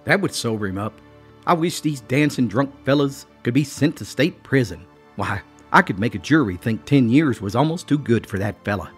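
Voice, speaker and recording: A man's voice: mid-range voice, lively intonation, normal speed, slightly rough, faint music behind, voice pushed up. A man voicing a boastful, cocky male politician and judge who talks in a hearty mountain drawl.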